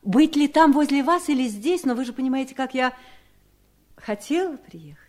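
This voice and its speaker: A controlling, scheming wife in a 1970s radio drama. Her voice sits fairly high, and she speaks with measured politeness edged with irony. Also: lively intonation. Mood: sweetly solicitous. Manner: measured, ironic, pointed politeness. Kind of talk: 1970s Soviet radio play acting.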